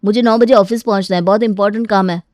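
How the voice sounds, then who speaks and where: A busy young office man , acted by a woman, protesting and pleading in a storybook family drama. His voice is middle-pitched and recorded very dry. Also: fairly even intonation, fast talker, a little mellow, no echo, natural voice. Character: reluctant younger adult son, busy office worker. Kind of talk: acted dialogue in a moral story.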